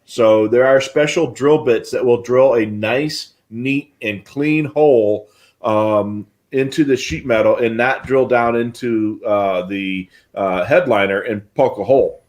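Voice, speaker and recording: A man's voice: mid-range voice, unhurried, darkish tone. Middle-aged American man, folksy explainer. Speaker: co-host of a radio scanner show. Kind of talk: casual explanatory talk on a livestream. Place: indoor home radio room on a video call.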